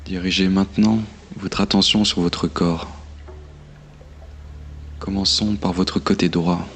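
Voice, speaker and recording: Low-pitched man guiding meditation, very even. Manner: calm, soothing, measured delivery with long pauses. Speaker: guided meditation instructor. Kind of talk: slow guided meditation narration. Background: meditation music.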